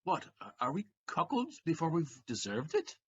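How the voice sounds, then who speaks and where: Husky, swooping brash young husband acted by a man. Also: fairly high pitch, wildly swooping pitch, normal speed, a bit bright, lo-fi sound, heavily acted. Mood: indignant. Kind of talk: Shakespearean audio-play acting.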